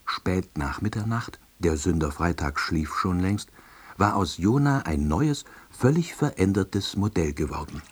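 An actor playing a wry, hapless middle-aged salesman, narrating his own story in an audio drama with a dry, deadpan delivery and husky voice. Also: low-pitched, unhurried, heavily acted. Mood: dry, reflective. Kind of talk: scripted first-person narration.

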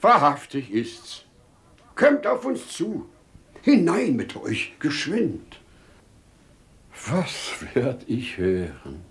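Slow, expressive wise father. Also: mid-range voice. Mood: surprised, amiable. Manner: theatrical, rhetorical, dwelling on words, dramatic pauses. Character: wise older merchant father, male, shrewd, teasing yet earnest. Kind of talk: 1950s German radio play acting, classical verse drama. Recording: 1950s radio drama recording.

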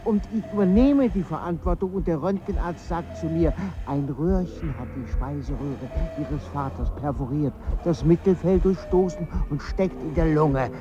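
A man's voice: a little high, darkish tone, lightly acted. An actor playing a foreign traveller, a son who grieves for his father, intense and reflective, in a radio drama. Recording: slightly dull sound.

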